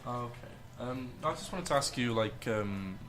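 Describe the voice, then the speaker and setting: A man's voice: medium pitch, expressive, moderate pace. A young man presenting a radio show and interviewing a comedian, hesitant and full of fillers. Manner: hesitant, lots of uh and sort of.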